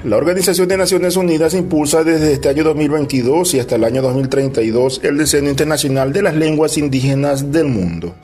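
A male news anchor with a mellow, warm tone and a fairly high voice reads the bulletin in a steady, formal way. Soft music plays under him. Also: fairly quick, few pauses. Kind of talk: scripted news reading.

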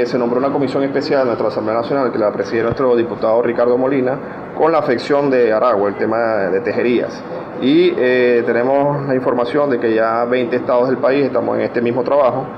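A man speaking off the cuff in a field interview, halting and repeating words with many fillers. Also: medium pitch, highs cut off, background noise.

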